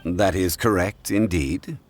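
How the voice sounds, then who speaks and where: Calm scholarly dude, really deep voice, measured and formal, music playing behind. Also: male voice. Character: calm, learned man, a consultant in history. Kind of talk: game voice acting. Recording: game soundtrack music behind.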